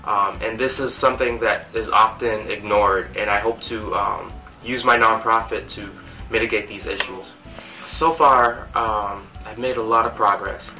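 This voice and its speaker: A man's voice: fairly low pitch, steady tone, normal speed, bright, forceful tone, far from the mic, soft background music. A young man from Cameroon, founder of a nonprofit, speaking earnestly to the camera with many "um" fillers.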